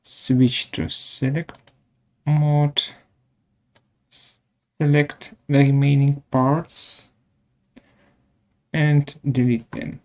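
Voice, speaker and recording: A man presenting a step-by-step software tutorial in short, halting instructional phrases, with a gravelly voice. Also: mid-range voice, little pitch movement.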